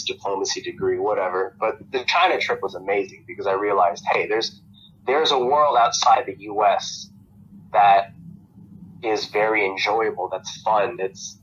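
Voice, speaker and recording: A man's voice: lowish voice, pushed and bright, highs cut off, a little hiss or hum. A young American man, a podcast guest, talking casually in a rambling way with lots of fillers and restarts. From United States. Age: young adult.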